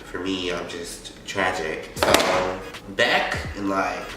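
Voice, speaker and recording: A sick teenage boy with a scratchy throat, talking in a deep, slow ramble. He sits far from the camera in a very echoey room. Music plays clearly behind him. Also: level tone. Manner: chatty, rambling, full of likes and you-knows. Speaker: vlogger. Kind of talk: rambling casual unboxing chat. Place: indoor room. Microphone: camera set up some distance away.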